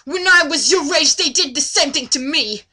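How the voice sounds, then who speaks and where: A woman's voice: high voice, lively intonation, normal speed, sharp tone, full character voice. A woman voicing an earnest male elf archer in overwrought, exclamatory fashion for a fan fiction reading.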